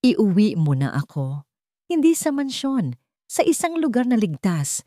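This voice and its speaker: A woman with a Filipino accent voicing a wounded, determined woman in a story, soft but steady. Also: mid-range voice, measured pace, no room sound, clean studio sound, voice pushed up. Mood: quiet resolve. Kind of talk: dramatized story dialogue.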